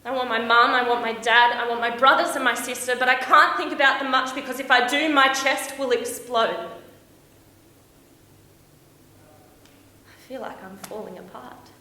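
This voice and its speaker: Woman playing young female traveller in stage monologue: confiding, expressive, bright mid-range voice, roomy sound. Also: medium pitch. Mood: homesick, fragile. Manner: confiding, expressive, with dramatic pauses. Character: young Australian woman backpacker, dreamy, lovestruck, heartbroken, homesick. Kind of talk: theatrical stage monologue, storytelling to an audience. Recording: echoey room with faint noise.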